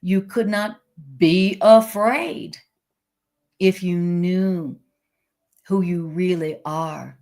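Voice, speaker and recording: A woman leading a live-streamed spiritual class in a chatty way, laughing easily, with a loud voice, into a microphone. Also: on the low side. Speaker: spiritual teacher. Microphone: desk microphone.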